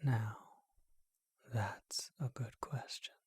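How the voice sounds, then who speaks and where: A performer voicing a charming, bookish ancient vampire in a whispered ASMR roleplay, speaking in a refined, unhurried, musing way with a breathy voice. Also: medium pitch, fairly even intonation, darkish tone, no echo, studio quality, exaggerated voice. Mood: intimate, reflective.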